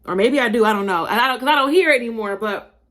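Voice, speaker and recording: A young adult woman from Buffalo, New York, with a slightly Southern-tinged accent, hosting a casual opinion monologue in an animated, emphatic, chatty way and calling her listeners y'all. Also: a little high, quick pace. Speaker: podcast host.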